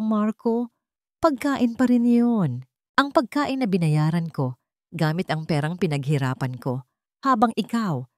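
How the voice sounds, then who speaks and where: A Filipino woman voicing a weary, coldly defiant wife and mother in an audiobook drama, calm and pointed. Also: on the high side, dry recording, studio quality, pitched above the performer's own voice.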